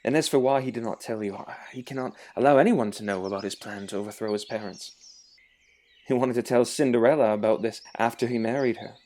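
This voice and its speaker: Man playing a mellow-voiced, loyal young knight. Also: medium pitch, a little fast, close to an everyday voice. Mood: serious, explaining. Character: loyal, level-headed young knight, prince's confidant. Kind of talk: audio drama acting.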